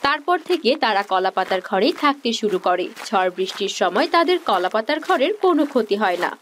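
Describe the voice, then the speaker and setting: A woman's voice: medium pitch, sing-song, fairly quick, a little hiss or hum. A woman reading scripted narration for a fairy-tale cartoon as the storyteller.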